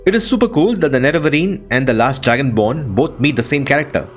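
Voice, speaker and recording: Lively man giving casual game commentary. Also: mid-range voice, fast talker, over music. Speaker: host of video game playthrough.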